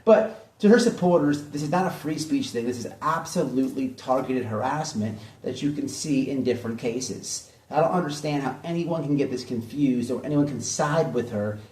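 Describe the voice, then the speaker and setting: A man's voice: mid-range voice, normal speed, hardly any pauses, a little mellow. A man giving opinionated drama commentary in a blunt, mocking way, hammering points home with repetition. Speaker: online commentary host. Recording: echoey room, distant mic.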